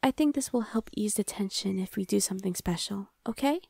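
A young woman voicing a gentle older sister in an ASMR roleplay, speaking softly and half-whispered to comfort a younger sibling. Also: mid-range voice, a little fast, gravelly, husky. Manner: soft, half-whispered, reassuring. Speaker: voice actor.

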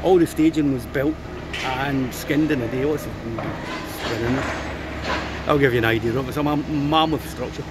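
Man over loud outdoor noise. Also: medium pitch, moderate pace. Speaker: vlogger. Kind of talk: casual running commentary. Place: outdoors in stands of large open stadium. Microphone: handheld phone or camera. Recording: loud machinery noise, outdoor handheld recording.

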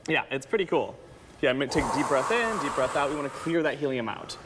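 A man's voice: medium pitch, very animated, fast talker. Young man, playful explainer, noisy studio. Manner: playful, conversational. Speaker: science center educator, TV guest. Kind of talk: live science demonstration, casual explaining. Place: TV news studio. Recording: clearly noisy studio background.

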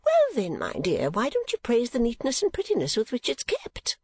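A woman voicing a vain, rambling middle-aged gentlewoman mother in a storybook reading, with an affected, garrulous manner. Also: on the high side, wildly swooping pitch, normal speed, husky, dry recording, pitched above the performer's own voice, heavily acted. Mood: reproachful.

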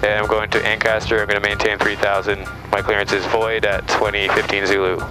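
A man's voice: lowish voice, completely flat delivery, a little fast, music in the background, rough recording. A man training as a pilot, chatting casually and making radio calls over an aviation headset in a small plane. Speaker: student pilot. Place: small plane cockpit in flight.

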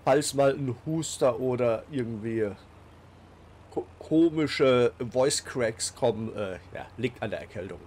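A man's voice: mid-range voice, measured pace, a little mellow, a little hiss or hum. A man with a cold, hosting a casual let's play and talking along with many fillers and restarts. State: has a cold. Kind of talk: casual game commentary.

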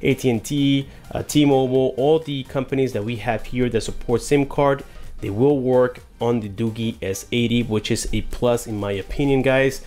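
A man's voice: mid-range voice, steady tone, moderate pace, darkish tone, soft background music. A man narrating a casual unboxing and review, explaining things enthusiastically to viewers as a tech reviewer.

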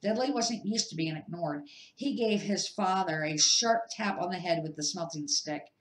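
A woman's voice: lowish voice, normal speed. A middle-aged woman reading a children's novel aloud as an amateur, careful and sometimes stumbling over the words. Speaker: amateur storybook reader. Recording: echoey, roomy sound.

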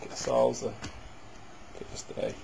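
A man giving casual running commentary as he opens packs of trading cards, breaking into loud bursts of excitement. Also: slow pace. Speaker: card box breaker. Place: indoors at a table.

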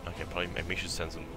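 A young man with a faint Italian accent giving rambling, casual commentary while playing a strategy game, laughing often and talking to the enemy. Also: low-pitched. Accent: Italian, softened by years in Sweden. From Italy. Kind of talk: casual let's-play commentary.

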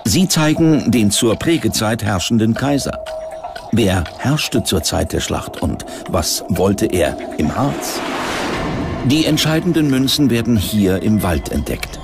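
A man's voice: on the low side, big pitch swings, measured pace, gravelly. A man reading scripted documentary narration as a narrator. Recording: music behind the voice. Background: background music.

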